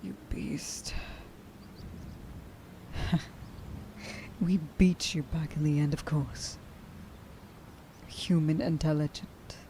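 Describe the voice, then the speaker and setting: A woman playing a stern, vengeful werewolf huntress in an ASMR roleplay, speaking to the listener with deliberate contempt and menace, often slipping into a breathy whisper. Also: deep voice, warm tone, slight background noise. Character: stern, vengeful female werewolf huntress, grieving a lost friend.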